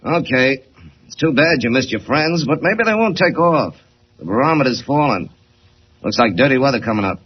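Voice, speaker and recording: A muffled vintage radio serial with faint noise. A man acts a gruff coast guard sailor of middling pitch, plain-spoken and colloquial. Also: lively intonation, moderate pace, lightly acted. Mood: matter-of-fact. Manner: brusque, colloquial, uneducated speech. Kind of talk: 1940s radio acting.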